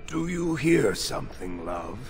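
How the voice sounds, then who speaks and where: A man's voice: medium pitch, very animated, unhurried, soft background music. A man playing an entranced, doting and protective knight in a fantasy video game. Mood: dreamy, doting.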